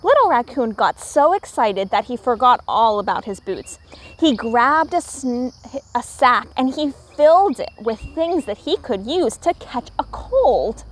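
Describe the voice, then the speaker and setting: A woman reading a picture book aloud to young children, with animated, emphatic and deliberate phrasing. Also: on the high side. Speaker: children's story reader.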